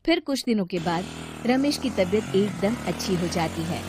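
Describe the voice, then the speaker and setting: A woman's voice: medium pitch, lively intonation, normal speed, noisy background. A woman narrator reading a scripted moral story.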